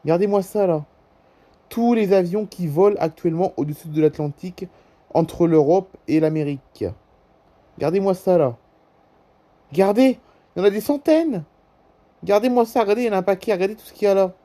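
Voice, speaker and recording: A man's voice: sits high, fairly quick, long pauses, warm tone. A man with a French accent giving casual, off-the-cuff commentary as a YouTuber, often repeating himself and prompting viewers to look. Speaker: YouTuber presenting a flight-tracking app.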